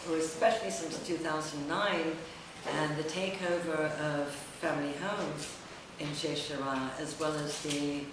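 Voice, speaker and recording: Middle-aged female writer at a public event, pausing often, heavy echo and clear background noise. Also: low-pitched, fairly even intonation. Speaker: writer and editor presenting own essay. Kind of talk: public talk, semi-improvised. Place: echoey indoor room at a public event. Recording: very echoey distant room recording, noisy.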